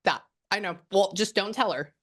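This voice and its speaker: A woman's voice: mid-range voice, moderate pace, fairly bright tone, husky. A woman working as a psychic medium, chatting casually and rambling with lots of restarts. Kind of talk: casual reading on a video call.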